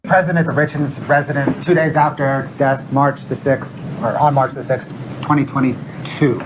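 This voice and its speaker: A man questioning a witness as a lawyer in formal, measured, halting phrases, on noisy courtroom audio. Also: mid-range voice, fairly even intonation.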